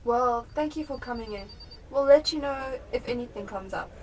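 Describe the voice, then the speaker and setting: A young woman playing a sharp, determined police detective in a radio drama. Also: fairly high pitch, normal speed, far from the mic, slight background noise, natural voice, poor audio. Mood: focused, deducing. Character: sharp, determined female police detective piecing clues together. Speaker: student actor in a school radio play.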